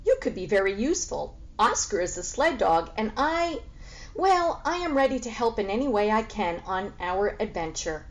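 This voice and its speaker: A woman's voice: mid-range voice, big pitch swings, moderate pace. Woman as an eager, polite little storybook pig. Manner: earnest, well-mannered. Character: polite, eager, curious young female pig.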